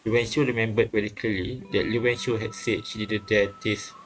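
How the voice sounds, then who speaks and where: A man reading and translating a visual novel aloud, haltingly, often correcting himself and repeating phrases. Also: fairly low pitch, flat delivery, poor audio. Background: game music.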